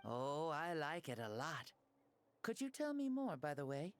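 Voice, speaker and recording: An actor playing a genial ancient man returned from death, telling his tale gently in game cutscene dialogue. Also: a little high, a little hiss or hum. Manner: gentle, lively, measured storytelling. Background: soft game music.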